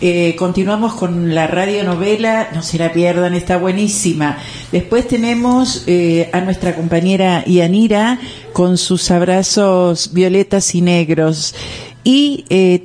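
A woman's voice: mid-range voice, a little mellow. Woman co-hosting an informal radio show. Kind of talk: informal programme rundown.

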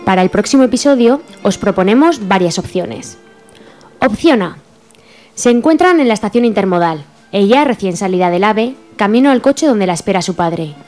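A woman from Spain narrates a radio drama from a script, with a Spain accent. Her pitch is average, and soft music plays faintly underneath. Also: lively intonation, moderate pace. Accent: Spain Spanish.

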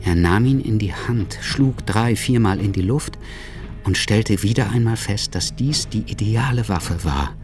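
A man's voice: fairly low pitch, measured pace. A man reading scripted narration for a radio drama as its narrator. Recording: studio production with music underneath.

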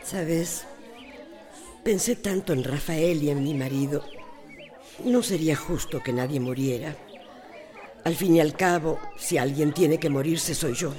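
A woman's voice: sits low, measured pace, pauses often, warm tone. An actress playing a grieving, stubborn elderly woman in a radio soap opera, weary and plaintive, with a Costa Rican accent. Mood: sorrowful, resigned. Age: older.

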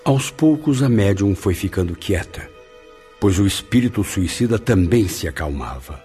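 An actor in a radio drama playing a reflective spirit who narrates his memories in a measured way. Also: sits low, animated, dark tone, faint music behind.

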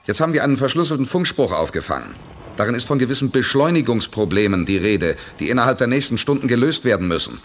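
An actor playing a firm, insistent human space commander in a 1980s German radio play. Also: male voice, medium pitch, sing-song, fast talker. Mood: urgent warning.

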